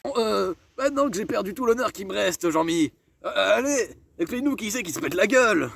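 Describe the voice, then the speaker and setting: A man's voice: sits high, expressive, a little fast, vocal fry, a bit bright. A young man clowning as a dim-witted sidekick in an amateur comedy sketch, stammering through questions. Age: teens or young adult. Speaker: comic sidekick in a school history video. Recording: homemade video with faint noise.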